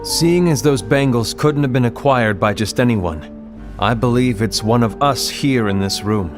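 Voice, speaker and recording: A man playing a grave, suspicious leader of a secret order in a video game cutscene, speaking in a solemn, measured, formal way. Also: medium pitch, over music, natural voice. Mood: grave, troubled.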